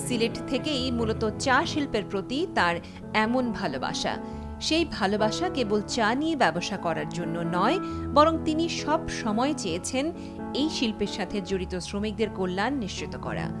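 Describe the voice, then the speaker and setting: A woman's voice: medium pitch, animated, normal speed. A woman with a Bangladeshi accent reading a scripted documentary narration over music. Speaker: programme narrator. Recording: clear music bed behind voice. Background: background music.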